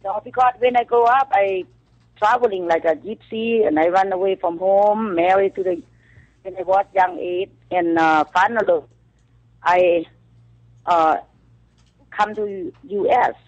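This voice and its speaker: A woman from Thailand with a Thai accent, calling in as a guest to a radio show and speaking halting English full of fillers. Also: medium pitch, highs cut off. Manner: halting non-native English with frequent fillers. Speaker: call-in guest, author. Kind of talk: phone-in radio interview. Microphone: telephone.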